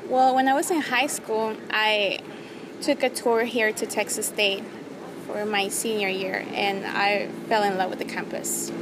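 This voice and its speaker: A woman's voice: mid-range voice, noisy background. A young woman from Mexico with a Mexican Spanish accent, a native Spanish speaker, earnestly answering interview questions as a student. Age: young adult.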